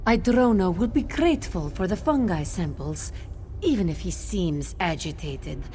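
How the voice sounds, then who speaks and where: A woman voicing a gentle, modest cat-folk fungus grower in a video game, speaking in a measured, matter-of-fact way. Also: on the low side, animated, a little hiss or hum, lightly acted. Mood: calm, informative. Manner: speaks of self in third person, measured. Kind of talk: video game character dialogue.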